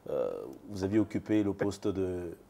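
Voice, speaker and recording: A man's voice: sits low, normal speed, warm tone. A man hosting an interview, asking questions with frequent hesitations and murmured acknowledgements. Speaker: interviewer host.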